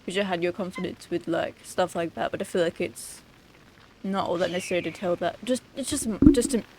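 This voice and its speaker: A woman's voice: fairly low pitch, brisk, a little mellow, slight background noise. A woman livestreamer chatting casually while gaming, rambling and hesitant, with muttered asides. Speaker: game livestreamer.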